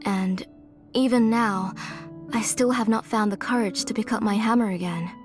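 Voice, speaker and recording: An actress playing a gentle, sorrowful middle-aged mother in a game, speaking softly, with game music behind her. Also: mid-range voice, moderate pace, close to an everyday voice. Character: gentle, guilt-ridden mother and former smith. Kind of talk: game dialogue. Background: soft game music.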